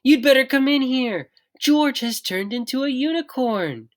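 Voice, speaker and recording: A reader putting on an excitable, high-pitched mother yeti. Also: unhurried. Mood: shocked, alarmed. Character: excitable mother yeti, caring but easily startled.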